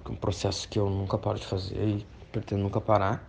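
A man talks in a low, flat voice, thinking aloud as he answers an interviewer. He hesitates and fills gaps with small words. Faint noise sits behind him. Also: quick pace, vocal fry. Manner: reflective, hesitant, many fillers.

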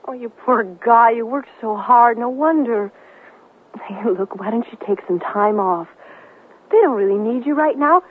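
An actress playing an earnest, soft-hearted young working woman in a radio drama, with a husky, plain-spoken delivery. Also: on the high side, moderate pace. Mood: tender, concerned. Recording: thin, muffled 1970s radio drama recording.

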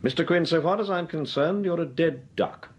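A man's voice: fairly high pitch, normal speed, slightly rough. An actor playing a cool-headed, ironic male amateur detective in a radio drama. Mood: dry. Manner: dry, ironic. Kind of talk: 1940s radio acting.

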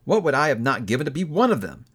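A man narrating a classic story aloud in an expressive audiobook reading style. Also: medium pitch, fast, husky. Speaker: book reviewer reading aloud.

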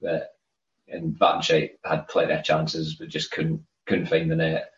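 Scottish man, very low voice, casual rambling chat, roomy dull recording. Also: monotone, unhurried. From Scotland. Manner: rambling, repeats words when starting. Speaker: podcast co-host.

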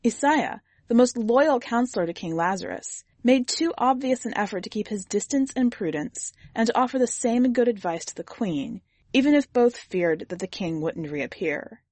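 A woman reading a literary fantasy story as scripted narration, with a measured, deliberate storytelling delivery. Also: a little high, animated, a little mellow, no room sound. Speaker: podcast host and story reader.

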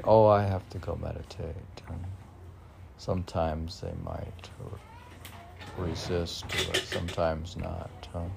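Deep, creaky-voiced man, slow and halting. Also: darkish tone, slight background noise. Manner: self-questioning, teasing, halting. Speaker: poet. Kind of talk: rambling solo poetry reading and commentary.

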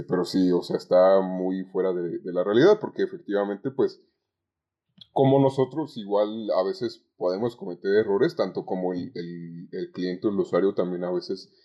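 A young Mexican man talking conversationally as a podcast guest about his experiences, with halting phrasing and repeated words. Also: deep voice. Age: young adult. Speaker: podcast guest, call center agent. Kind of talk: conversational interview, recounting work anecdotes. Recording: dull, lo-fi sound.

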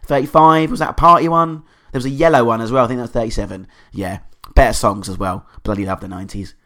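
A man hosting a podcast, hoarse from a cold, chatting in an enthusiastic, rambling way. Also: mid-range voice, fast, a bit bright. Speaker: podcast host. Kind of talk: casual podcast monologue.

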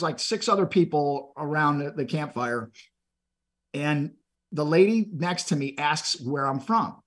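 Husky earnest man from Texas. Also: fairly high pitch, fairly bright tone. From Texas, USA. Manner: earnest, motivational, repeats words when starting phrases. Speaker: podcast guest. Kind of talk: conversational storytelling.

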